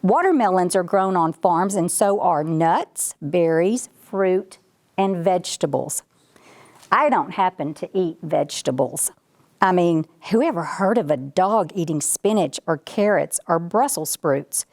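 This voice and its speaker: A woman's voice: fairly low pitch, expressive, a little slow, darkish tone. A woman voicing a boastful, folksy male ranch dog in a children's storybook, bragging and chatting straight to the listener. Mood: folksy explaining. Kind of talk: children's storybook read-aloud.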